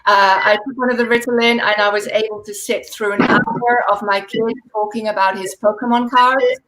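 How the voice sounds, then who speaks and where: A woman in her forties, raised speaking Dutch in the Netherlands, doing a stand-up set over a video call with dry deadpan delivery and laughing asides. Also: a little high, normal speed, poor audio. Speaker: stand-up comedian.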